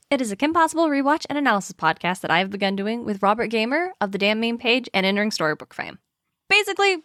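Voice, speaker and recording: A woman's voice: on the high side, a bit bright, no echo, studio quality. A woman hosting a podcast in casual, chatty banter, with an informal, wry way of talking. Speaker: podcast host.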